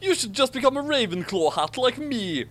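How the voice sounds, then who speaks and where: A man's voice: very high voice, big pitch swings, moderate pace, a bit bright, pitched above the performer's own voice, heavily acted. A man voicing a snooty, spiteful schoolboy rival with haughty bluster in a story reading. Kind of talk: mocking dramatic reading of fan fiction.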